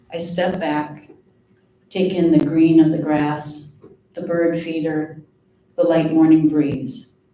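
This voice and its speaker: Female poet, live reading, unhurried measured delivery; very reverberant, distant recording with faint noise. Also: low-pitched, mellow. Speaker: poet reading own work. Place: live reading event before an audience. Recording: very echoey room, distant mic, faint noise.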